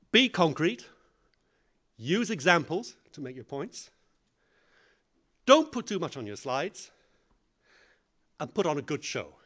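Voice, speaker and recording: Male conference speaker, lively pitch swings, self-deprecating, plain-spoken. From English-speaking country. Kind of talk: lecture on public speaking.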